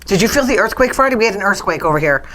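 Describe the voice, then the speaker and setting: A woman's voice: medium pitch, lively intonation, racing, a bit bright. A blunt, sarcastic woman hosting a casual talk show, speaking. Her voice sounds strained and worn, as if she nearly lost it. She rants in a chatty, freewheeling way. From New England. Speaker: podcast host.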